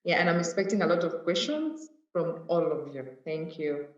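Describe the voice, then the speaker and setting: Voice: mid-range voice, sing-song, normal speed. South Sudan-born speaker on a muffled call. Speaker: regional network leader. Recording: echoey, distant, muffled call audio.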